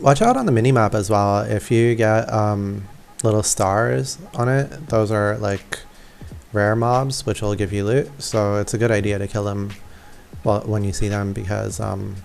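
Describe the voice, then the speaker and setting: Male gaming commentator: lowish, creaky voice, informal and hesitant, thinking aloud. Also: fairly even intonation, moderate pace, darkish tone. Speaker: gaming video creator. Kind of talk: casual gameplay walkthrough commentary. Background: faint game music.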